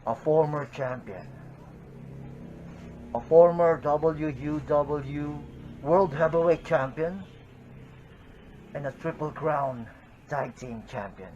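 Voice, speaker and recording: A man from the Philippines, a pro wrestler cutting a slow, deliberate, menacing promo against a rival. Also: a little high, darkish tone, lo-fi sound, faint music behind.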